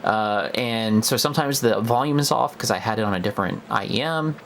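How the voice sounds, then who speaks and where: A man's voice: lowish voice, a little fast, a bit bright, slight background noise. A young man giving a casual, unscripted product review in a hesitant, conversational way, as if thinking aloud.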